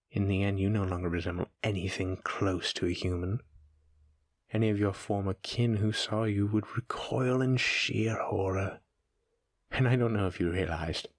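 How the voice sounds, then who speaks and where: A man's voice: on the low side, no echo, clean studio sound. A man playing an ancient, sadistic monster in a horror audio roleplay, musing theatrically and mocking the human pet he addresses. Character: taunting sadistic centuries-old male monster keeping a human pet.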